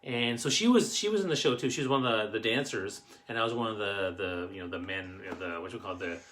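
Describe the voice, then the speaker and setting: A middle-aged Canadian man chats to camera as he hosts a family show. His voice is bright and forceful. He tells personal stories in a halting, rambling way, circling back as he goes. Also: fairly low pitch, a little hiss or hum. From Canadian Maritimes. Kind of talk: casual personal storytelling to camera.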